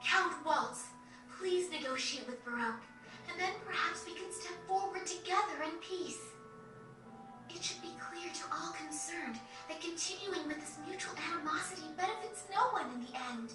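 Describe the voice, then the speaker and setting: Game heroine: young noblewoman, earnest, formal delivery, high voice, music underneath. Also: normal speed. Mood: earnest pleading. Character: earnest noble young woman pleading for peace. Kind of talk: dramatic video game cutscene acting. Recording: echoey, distant, music behind.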